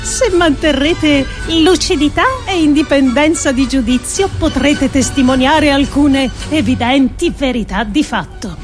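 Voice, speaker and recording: Slow, solemn woman thinker, higher-pitched. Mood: urgent, exhorting. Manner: deliberate, declamatory, with weighty pauses between phrases. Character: earnest, grave woman thinker delivering a political monologue. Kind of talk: theatrical radio monologue of philosophical prose.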